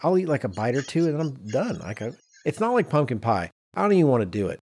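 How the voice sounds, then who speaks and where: A man co-hosting a podcast in casual banter, telling anecdotes in an animated way and acting out quotes. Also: medium pitch, fast, a little hiss or hum. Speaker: podcast co-host.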